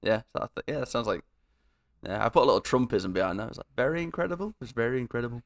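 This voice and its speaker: A man's voice: medium pitch, racing. A young man co-hosting a casual podcast, rambling and laughing as he drifts into tangents. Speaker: podcast co-host.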